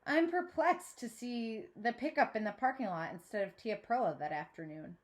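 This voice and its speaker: A woman's voice: on the high side, moderate pace. A woman reading a children's novel aloud as a teacher would, narrating in the first person and acting out the dialogue. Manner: expressive, acts out dialogue.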